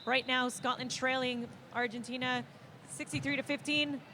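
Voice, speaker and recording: A woman giving play-by-play roller derby commentary with quick calls and playful banter, with a faint arena hum behind her. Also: high-pitched, little pitch movement. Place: leisure centre arena.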